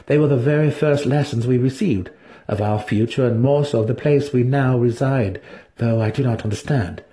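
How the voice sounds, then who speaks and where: A man voicing a guarded, sarcastic young male dark elf in a fantasy audiobook, with a sober, wary, dry manner. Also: mid-range voice, normal speed, natural voice. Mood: resigned. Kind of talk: dramatic fantasy audiobook character reading.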